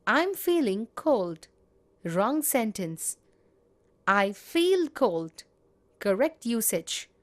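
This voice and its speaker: A woman teaching grammar, reading example sentences in a measured, deliberate way. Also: fairly high pitch. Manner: measured, spells out each example. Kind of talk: slow, deliberate grammar lesson.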